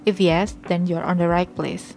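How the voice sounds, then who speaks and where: A woman's voice: fairly low pitch, level tone, moderate pace, creaky, darkish tone. A woman opening a podcast with an upbeat scripted introduction. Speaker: podcast host.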